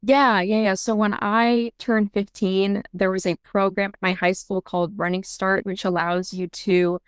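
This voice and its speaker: A woman's voice: medium pitch, flat delivery, unhurried, smooth, no echo. A young woman from Seattle, answering interview questions about her work and upbringing in a chatty way, with many ums and restarts. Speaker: guest, intake director at a law firm.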